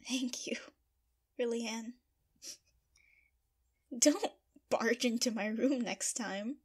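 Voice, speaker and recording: A dry, teasing young woman in an audio drama. Her voice is fairly high and her delivery very unhurried, understated and tolerant. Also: husky, exaggerated voice. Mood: wry, mildly exasperated. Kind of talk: scripted audio drama acting.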